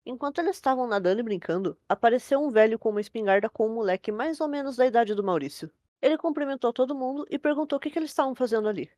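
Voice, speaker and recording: Brazilian woman, storyteller, higher-pitched, rapid casual delivery, dry recording. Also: darkish tone, no echo. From Brazil, from a capital city. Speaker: host and storyteller. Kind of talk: casual scripted narration of a scary story.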